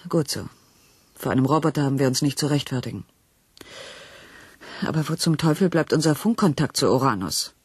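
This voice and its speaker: An actress playing a commanding, determined project leader of a research station, brisk and polite, in a science fiction radio play. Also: female voice, low voice, expressive. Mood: impatient, stern. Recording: clear background noise and ambience.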